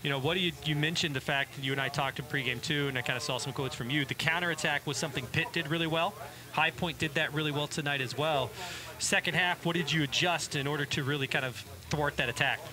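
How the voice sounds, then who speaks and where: A young man interviewing a coach after a game on a headset mic, in a brisk, upbeat broadcaster manner. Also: fairly high pitch, steady tone, crowd noise. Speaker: team media interviewer. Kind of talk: post-game interview questions. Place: indoor media room before a backdrop.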